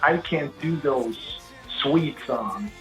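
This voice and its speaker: A man from Sweden with a big, gravelly voice talks his way through long stories in a relaxed interview, often starting over mid-sentence. Faint music sits low behind him. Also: extremely slow. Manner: rambling storyteller, frequent restarts. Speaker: singer-songwriter guest. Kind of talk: casual interview chat.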